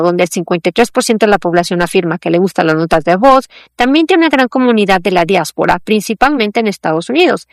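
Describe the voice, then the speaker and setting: A woman reads a news feature at a steady, measured pace. Her voice sits at a middle pitch and sounds close and dry, with no room around it. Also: no room sound. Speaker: news article reader. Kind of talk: read-aloud news feature.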